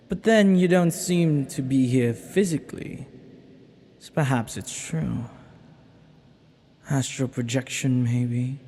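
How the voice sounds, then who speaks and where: Man playing an echoing, slow-talking ancient villain. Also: mid-range voice, mellow. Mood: amused wonder. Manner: theatrical, musing, unhurried with dramatic pauses. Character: ancient banished male villain, magical being, flirty, menacing, wistful. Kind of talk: intimate audio roleplay monologue. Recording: long echoing reverb.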